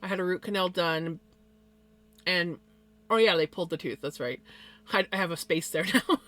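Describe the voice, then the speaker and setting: Streamer, woman, bright tone, slight lisp, rambly casual chat full of ums and quick laughs. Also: lowish voice. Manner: rambling, with many fillers and small laughs. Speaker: live streamer.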